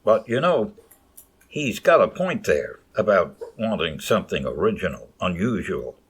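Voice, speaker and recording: Man playing a husky, animated old-time radio announcer. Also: deep voice, moderate pace, full character voice. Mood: earnest, selling.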